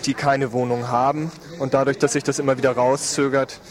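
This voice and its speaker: A man's voice: mid-range voice, level tone, brisk. Man interviewed outdoors amid crowd noise. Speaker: housing initiative member being interviewed. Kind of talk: spontaneous interview talk. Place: outdoors on a town square.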